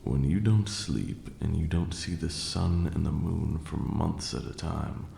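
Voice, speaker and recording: An ancient, brooding vampire lord, played by a man, murmurs in an extremely low, heavily gravelled voice. He is cruel and grieving, drawing out his words slowly and theatrically in an ASMR roleplay, with rainy ambience clearly behind him. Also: warm tone, roomy sound, slight background noise, heavily acted. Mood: wry, reflective. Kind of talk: slow dramatic ASMR roleplay monologue.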